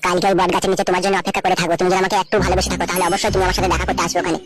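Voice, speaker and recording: A woman voicing a lovesick married village man in a comic cartoon, a melodramatic flatterer who gushes and pleads. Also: fairly low pitch, racing, loud music behind the voice, lightly acted. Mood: gushing, pleading. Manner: gushing, melodramatic.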